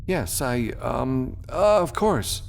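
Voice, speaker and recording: A man's voice: mid-range voice, expressive, slow pace, creaky, pitched above the performer's own voice. A man voicing a nervous, eager, starstruck deputy captain in an audiobook, stammering and deferential. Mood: flustered. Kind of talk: audiobook character voice.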